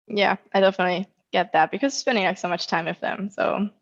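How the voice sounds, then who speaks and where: Gravelly woman, hesitant moderator, filler-heavy, muffled video call audio. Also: medium pitch, fairly quick. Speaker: moderator, former au pair. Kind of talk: online panel moderation.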